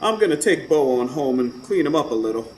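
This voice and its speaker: A man's voice: a little high, lively intonation, brisk, close to an everyday voice. An actor playing a rustic, kindly neighbor devoted to his old hound, with folksy colloquial talk, in a radio drama recorded live on stage. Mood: concerned. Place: stage before a live studio audience. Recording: roomy live stage recording, background noise.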